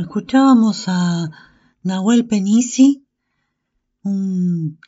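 Radio presenter: Argentine woman, medium pitch, relaxed and slow, introducing segments. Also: no room sound, lo-fi sound. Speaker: radio show host.

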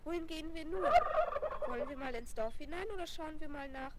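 A plucky, curious young girl with a high voice, played by a woman. It is radio-play acting for children. The vintage record is very noisy and crackly. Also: brisk, close to an everyday voice. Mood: eager, curious. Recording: crackly noisy 1970s vinyl rip.

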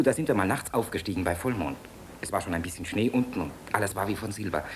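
A man plays a fighter pilot and husband in a German radio play. His voice is low, and he speaks softly and reflectively, weary and full of tender doubt. There is clear noise in the background. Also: close to an everyday voice. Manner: soft, hesitant, reflective. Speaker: actor. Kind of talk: German radio drama acting.

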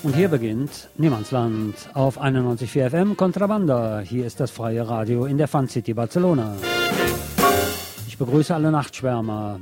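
Male late-night radio presenter, mid-range voice, reading literary monologue deliberately and poetically, with strong music bed. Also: mid-range voice. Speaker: radio host. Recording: music playing under the voice.

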